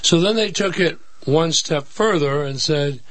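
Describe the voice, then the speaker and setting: Slightly hoarse man explaining finance. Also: on the high side, measured pace, dry recording. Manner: explanatory, steady. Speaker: financial advisor guest. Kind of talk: radio interview. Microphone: studio microphone.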